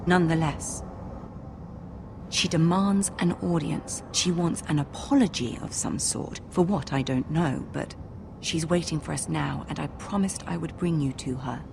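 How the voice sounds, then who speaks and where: An actress playing a poised young noble lady in a game drama, gentle and persuasive, with a measured, diplomatic manner. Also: low voice, vocal fry, noisy background. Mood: earnest, persuasive.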